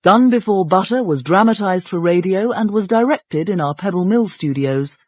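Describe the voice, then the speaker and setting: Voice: mid-range voice, animated, moderate pace, a little mellow, no echo. Dry studio announcer reading cast credits. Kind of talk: scripted broadcast announcement.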